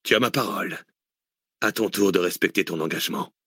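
A man's voice: low-pitched, a little fast, fairly bright tone, no echo, studio quality. A man voicing a ruthless gang leader who speaks in curt, clipped, menacing lines. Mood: sardonic. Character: ruthless male gang leader, cold, short-tempered.

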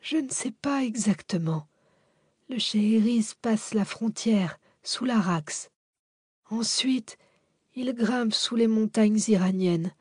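A man's voice: high voice, darkish tone, no room sound, studio quality, pitched above the performer's own voice, heavily acted. A woman voicing an old widowed benefactor, weakened and whispery, confiding secrets with pride and tenderness. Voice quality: whispery, breathy, faint.

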